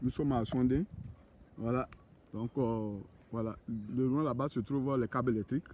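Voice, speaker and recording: A man's voice: mid-range voice, steady tone, moderate pace, a little mellow. A man working as a real estate agent, giving a casual outdoor tour of land plots, with lots of hesitations and restarts. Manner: hesitant, full of fillers and restarts. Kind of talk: casual walking video tour.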